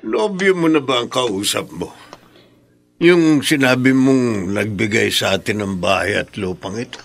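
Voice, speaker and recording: A man's voice: medium pitch, expressive, measured pace, gravelly. An actor playing an anxious, protective older father in a Filipino radio drama. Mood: concerned, probing. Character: anxious, protective old father of grown daughter.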